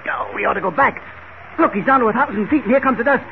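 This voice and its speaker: An actor playing a harried, anxious airfield manager in an urgent, clipped 1940s radio style. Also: male voice, very high voice, quick pace, gravelly. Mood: panicked. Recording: tinny, hissy 1940 radio recording.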